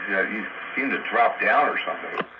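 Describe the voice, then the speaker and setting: A man with a fairly low voice makes dry, teasing small talk on two-way radio. His transmission is dull and band-limited, swamped by heavy noise. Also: fairly quick. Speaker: amateur radio operator. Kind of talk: casual radio chat. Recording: very noisy, muffled two-way radio.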